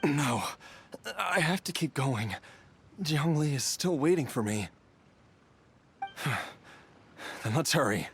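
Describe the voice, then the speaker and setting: An actor playing an ailing but determined young man in a video game, speaking haltingly and with strain. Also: a little high, expressive, noisy background. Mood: pained, resolute. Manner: halting, strained, breathy hesitations. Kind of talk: dramatic video game voice acting.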